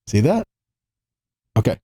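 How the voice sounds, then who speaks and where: Mellow-voiced man teaching scripture. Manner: emphatic, pauses to repeat key lines and exclaim. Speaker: Bible teacher. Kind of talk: teaching sermon, reading quotations and commenting.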